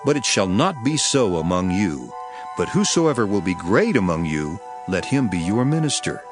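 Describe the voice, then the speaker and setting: A man playing a solemn teacher of parables in a dramatized scripture recording, speaking in a measured, declamatory way. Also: low-pitched, sing-song, music in the background. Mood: solemn, authoritative.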